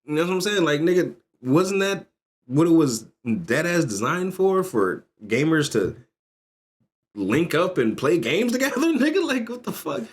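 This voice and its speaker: A man's voice: a little high, very animated, normal speed. A man in his thirties ranting loosely and profanely while reacting to a video on a livestream. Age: 30s. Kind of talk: casual livestream reaction chat.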